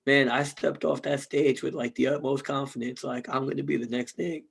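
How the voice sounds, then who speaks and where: A man co-hosting a podcast in casual chat, hoarse from losing his voice, joking loosely and swearing freely. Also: mid-range voice, fairly even intonation, moderate pace, fairly bright tone. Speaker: podcast co-host.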